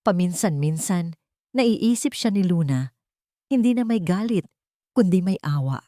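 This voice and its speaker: Low-pitched woman narrating a story. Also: mellow, no echo, clean studio sound. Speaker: audio drama narrator.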